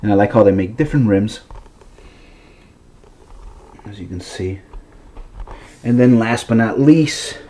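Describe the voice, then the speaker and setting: Stuffy-nosed man, low and mellow. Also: a little slow, long pauses. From Massachusetts, USA. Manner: rambling, chatty, with fillers and restarts. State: stuffy, congested nose. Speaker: toy car collector showing his collection. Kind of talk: casual vlog.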